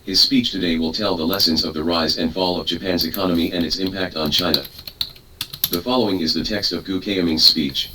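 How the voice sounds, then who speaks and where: Male narrator, deep and formal, reading a speech transcript; distant mic, keyboard clatter in the room. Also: steady tone, a little fast. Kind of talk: read-aloud speech transcript. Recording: distant mic with clear background noise.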